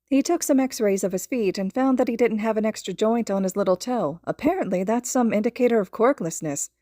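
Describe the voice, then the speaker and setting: A woman voicing a cheerful, anxious young mother in an audiobook story, chatting in a light, animated, gossipy way. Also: fairly high pitch, brisk, no room sound, clean studio sound, voice pushed up, close to an everyday voice. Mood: relieved, chatty.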